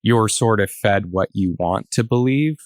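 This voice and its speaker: A man's voice: lowish voice, unhurried, dry recording, studio quality. A man speaking casually as a guest in a podcast discussion. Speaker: podcast guest, theatre artist.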